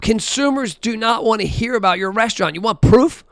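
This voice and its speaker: A man talks straight into a close, dry microphone with a somewhat high voice. He is blunt and animated, chatting casually and counting figures aloud as he goes. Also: fairly quick, no echo. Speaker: podcast host. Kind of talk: casual solo podcast talk.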